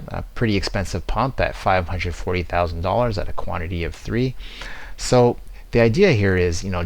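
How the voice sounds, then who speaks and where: A man giving an explanatory software demo walkthrough, stressing his key words as he presents. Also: deep voice, creaky.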